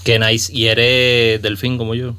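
Male podcast host, Puerto Rican accent, mid-range smooth voice, informal interview chat. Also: medium pitch, completely flat delivery, unhurried, sharp tone. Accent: Puerto Rican Spanish. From Puerto Rico. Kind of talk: casual conversational interview.

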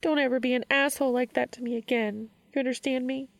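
A woman voicing a bubbly, bossy, affectionate young woman in a story reading, with a bright, emphatic delivery. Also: high-pitched, steady tone, fast, vocal fry, no room sound, pitched above the performer's own voice. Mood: fierce, teary.

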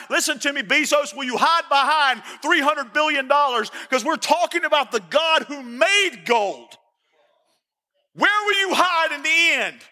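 A man's voice: very high-pitched, bright, forceful tone. A man preaching an impassioned, confrontational sermon, driving home repeated rhetorical questions. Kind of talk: fiery live sermon to a congregation. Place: large indoor hall with a congregation. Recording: reverberant large hall.

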